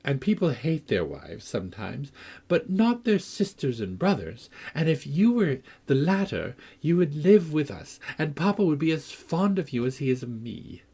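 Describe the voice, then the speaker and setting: A man's voice: fairly high pitch, big pitch swings, moderate pace, warm tone, slightly rough, pitched above the performer's own voice, exaggerated voice. A man voicing a pert, impulsive young girl who speaks with passion. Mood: earnest. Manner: pert, impassioned. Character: impulsive, affectionate young girl, quick-tempered.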